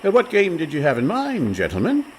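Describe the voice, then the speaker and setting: An actor playing a breezy, overconfident schemer in a comic radio play, glib and mock-genteel. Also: male voice, on the high side, huge pitch swings, fairly quick, slight background noise. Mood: glib scheming. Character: smooth-talking, scheming con man, male, breezy and overconfident.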